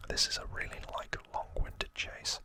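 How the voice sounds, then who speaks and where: A man's voice: normal speed, sharp tone. A man whispering breathily in a casual ASMR recap, explaining informally and with some hesitation. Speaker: ASMR video host.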